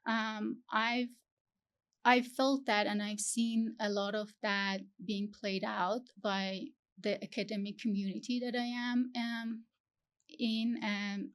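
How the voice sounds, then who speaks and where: A young woman from Iran, talking as an interview guest, halting and searching for her words with many fillers. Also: medium pitch, level tone. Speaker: guest, PhD student. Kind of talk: interview conversation.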